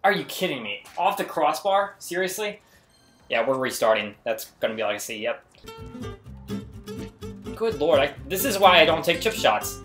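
A man's voice: on the high side, big pitch swings, normal speed, pauses often, a bit bright, faint music behind. Casual male gamer with self-deprecating commentary. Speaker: gaming video host.

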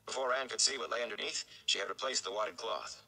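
Guy in his 30s reading a novel dramatically, deep-ish with some fry, sharp bright tone. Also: quick pace, rough recording. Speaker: read-along reader. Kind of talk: dramatic audiobook narration. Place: indoor room at home.

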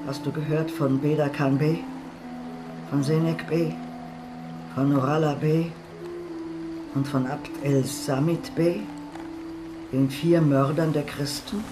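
A man's voice: fairly high pitch, level tone, slow pace, long pauses, over music. A man playing a very old, mournful village woman in a radio drama, speaking in solemn, declamatory tones. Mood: mournful, accusing.

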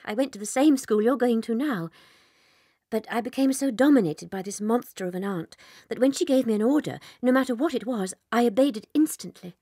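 A gentle young British schoolteacher from a children's audiobook drama. Her voice is breathy and expressive, careful and a little timid, in a dry studio sound. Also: on the high side, a little fast, no room sound. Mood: troubled, confiding.

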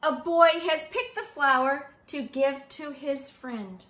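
A woman's voice: high voice, slow pace. A middle-aged woman who teaches preschool, reading a picture book aloud expressively and asking young children simple questions. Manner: expressive, emphatic, child-directed delivery. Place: small living room, indoors on a sofa. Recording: roomy home recording.

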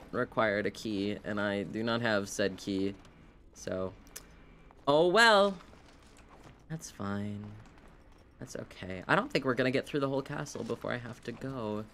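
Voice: mid-range voice, lively intonation, unhurried, a bit bright, slight background noise. A video game streamer giving casual live commentary, rambling and wryly talking to themself and the game. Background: video game sounds.

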